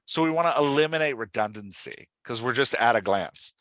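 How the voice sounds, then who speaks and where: A man's voice: medium pitch, expressive, brisk, a bit bright, no echo, low-quality recording. A man hosting a podcast and coaching in a casual, direct, instructive way, often checking that he is understood. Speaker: podcast host coaching an author on dialogue. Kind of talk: casual one-on-one coaching talk.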